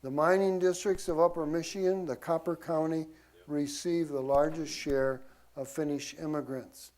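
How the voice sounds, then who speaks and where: A man with a somewhat high-set voice teaches history to a group. He speaks informally and in a folksy way, dropping in casual asides to his listeners. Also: steady tone, moderate pace, a little mellow. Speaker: church class teacher.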